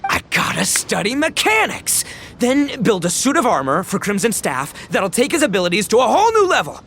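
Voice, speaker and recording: A man's voice: sits high, huge pitch swings, normal speed, a little hiss or hum, heavily acted. An actor playing a boisterous, theatrical young man in a video game, earnest and bombastic. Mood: fired-up resolve. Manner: bombastic, dramatic, swinging from solemn to shouting. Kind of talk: animated video game acting.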